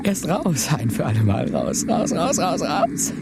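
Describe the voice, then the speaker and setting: A man's voice: on the high side, huge pitch swings, moderate pace, gravelly, over loud music, full character voice. An actor playing an intense, volatile man in a German radio drama, urgent and repetitive, desperate for redemption. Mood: feverish relief. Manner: obsessively repeating, urgent phrasing.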